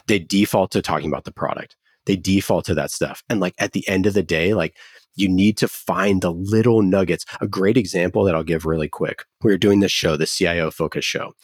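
Deep, husky-voiced man in casual interview. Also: no room sound, studio quality. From United States, California. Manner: informal, anecdotal, peppered with "like". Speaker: podcast guest, company founder. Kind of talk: casual business interview talk.

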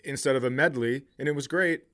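A man's voice: mid-range voice, a little fast. Middle-aged man telling comic stories, profane. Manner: profane, animated retelling with acted-out quotes. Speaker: comedian podcast host. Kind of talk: casual comic storytelling.

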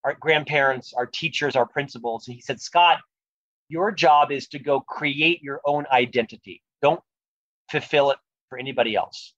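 A man's voice: mid-range voice, normal speed, a bit bright, dry recording, lo-fi sound. A man with a lifelong stutter, speaking earnestly and reflectively as an author being interviewed about leadership. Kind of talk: podcast interview conversation.

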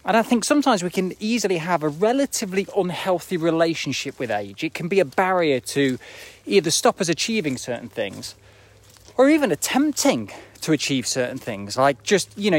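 A man in his forties giving a casual, reflective motivational talk as a running coach on a live video, often checking in with rhetorical questions. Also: high voice. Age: 40s. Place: outdoors, walking uphill near an airport.

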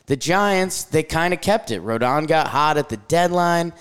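A young man co-hosting a casual baseball podcast, recapping results with a jokey, quick manner. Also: a little high, lively intonation, a bit bright, clear, resonant tone, soft background music. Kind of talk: casual sports chat.

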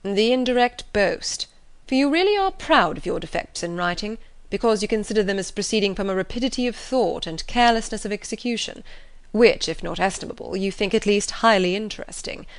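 A woman voicing a proud, reserved young gentleman who speaks in measured, lecturing tones. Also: mid-range voice, expressive, clear, resonant tone, no echo, lightly acted. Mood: cool.

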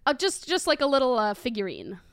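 A woman's voice: high voice, sing-song, brisk, no echo, studio quality. A young woman co-hosting a podcast, teasing and self-deprecating in casual comedic chat. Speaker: podcast co-host.

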